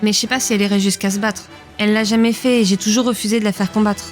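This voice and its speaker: A woman's voice: mid-range voice, steady tone, racing, soft background music, natural voice. A woman playing a principled, plain-spoken leader who is protective of creatures in an audio drama. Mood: affectionate.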